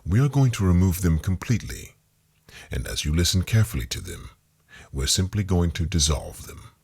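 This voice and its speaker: A man guiding a hypnotic meditation, reading scripted suggestions in a calming, deliberate manner. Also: low voice, vocal fry, slightly rough. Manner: slow-phrased, calming, deliberate, repetitive suggestion. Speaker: hypnosis guide.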